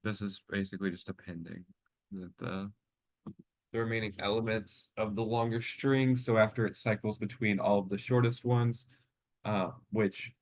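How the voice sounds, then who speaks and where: A young man getting over a cold, talking through a coding test in a halting, self-correcting way. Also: on the low side, dry recording. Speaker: student solving a coding test. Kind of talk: think-aloud coding walkthrough.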